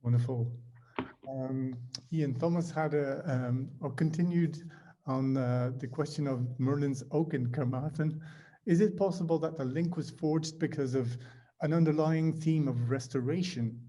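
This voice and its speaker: Mellow-voiced man chairing a panel. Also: a little high, measured pace. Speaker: session chair. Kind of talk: reading out audience questions.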